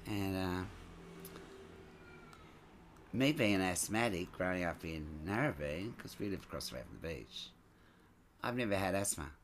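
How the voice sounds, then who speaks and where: Interview guest: man, very deep voice, expressive storyteller, faint background hiss. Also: normal speed. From Scotland, raised in Sydney. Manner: lively, expressive, frequent "you know" asides. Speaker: stage entertainer and show producer.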